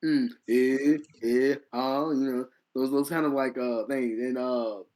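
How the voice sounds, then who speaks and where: A man co-hosting a casual podcast chat, talking in an animated, self-deprecating way and often repeating his words. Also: mid-range voice, a little slow. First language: English. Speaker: podcast co-host.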